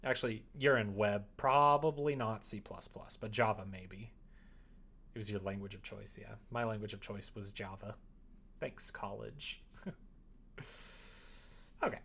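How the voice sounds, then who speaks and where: A man's voice: lowish voice, fairly even intonation, a little slow, vocal fry, long pauses, fairly bright tone, a little hiss or hum. Casual man chatting on a livestream. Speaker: live-streaming programmer. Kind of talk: casual livestream chat while coding.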